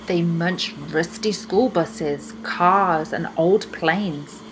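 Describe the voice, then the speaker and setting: A young woman reading a children's storybook aloud in an expressive style, with deliberate, emphatic phrasing. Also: lowish voice, noisy background. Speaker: children's book reader.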